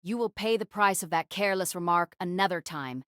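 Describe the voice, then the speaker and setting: A woman's voice: on the low side, fairly quick, fairly bright tone, clean tone, no echo, lightly acted. A resolute young female knight king acted by a woman in an audio drama. She is firm and formal, with a restrained delivery and a dry, close sound. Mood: stern. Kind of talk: dramatised audio fiction acting.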